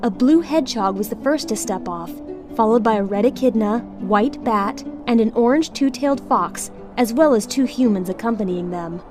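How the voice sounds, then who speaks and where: A woman's voice: fairly high pitch, animated, moderate pace, over music. A woman reading scripted story narration for an audio drama.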